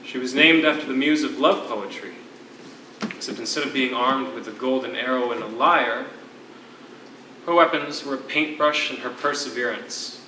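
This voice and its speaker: Stage role: wistful poet and ex-teacher in captivity played by a man, very bright forceful voice, eloquent phrasing, echoey distant recording. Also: mid-range voice, slight background noise, lightly acted. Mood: tender, nostalgic. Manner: eloquent, theatrical, measured storytelling. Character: lyrical, wistful former poetry teacher, a political prisoner. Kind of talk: live one-act stage play.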